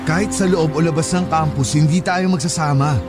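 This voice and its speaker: An actor voicing a young male student in a radio drama, turning his partner down with flat, firm refusals. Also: on the high side, brisk, close to an everyday voice. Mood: cold. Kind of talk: Tagalog radio drama acting. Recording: music under the voice.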